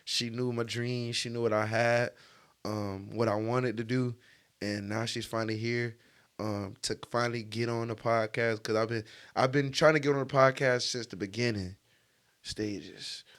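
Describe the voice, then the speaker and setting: A man's voice: mid-range voice, steady tone, normal speed, a bit bright, clean tone. A man hosting a podcast in a casual, slangy interview style, with a joking, teasing manner. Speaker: podcast host.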